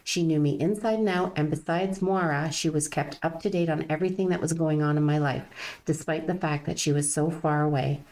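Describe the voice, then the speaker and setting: An older woman hosting a chat and reading aloud from her own novel, agreeable and quick with "yeah". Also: low voice, fairly even intonation, a little mellow, low-quality recording. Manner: agreeable, frequent "yeah" backchannels. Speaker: podcast host and author reading her novel. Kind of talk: casual chat and book reading.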